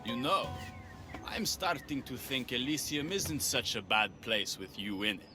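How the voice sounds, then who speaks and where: An actor playing a suave, confident rebel leader with a flirtatious manner in a mythic Greek video game. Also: male voice, mid-range voice, lively intonation, measured pace, over music, close to an everyday voice. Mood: assured. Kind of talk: video game cutscene dialogue.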